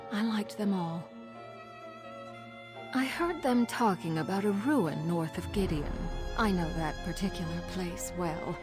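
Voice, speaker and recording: A woman playing a gracious priestess of love in a video game, speaking in a measured, kindly, slightly lofty manner. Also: on the low side, natural voice. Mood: serene, wistful. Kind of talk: video game character dialogue. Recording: clear game music underneath. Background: game music.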